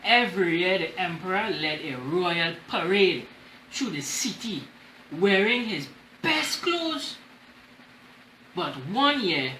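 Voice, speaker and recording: A man's voice: high-pitched, bright, forceful tone. A man with a Trinidadian accent hosts a children's storytime, reading expressively and explaining phrases in simple words. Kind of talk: animated picture-book reading. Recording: roomy echo with faint noise.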